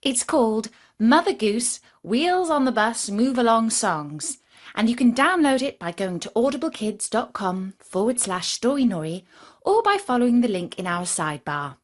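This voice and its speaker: A woman speaks as a storytelling host in a chatty, playful promotional aside. Her pitch sits a little high and swoops about with lots of melody. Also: very animated, normal speed. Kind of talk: scripted promotional aside to young listeners.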